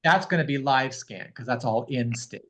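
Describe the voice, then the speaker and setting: A man's voice: mid-range voice, normal speed, a bit bright. A man giving matter-of-fact, explanatory advice to a caller on a group video call. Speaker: notary and fingerprint roller, co-host.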